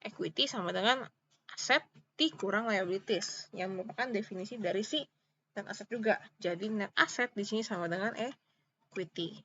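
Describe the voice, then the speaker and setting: A woman lecturer with an Indonesian accent explains things informally, one step at a time. Her voice is bright and forward, at an average pitch for a woman. She repeats key points and often checks in with short questions, on a slightly muffled, lo-fi recording. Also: normal speed, a little hiss or hum. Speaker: accounting lecturer.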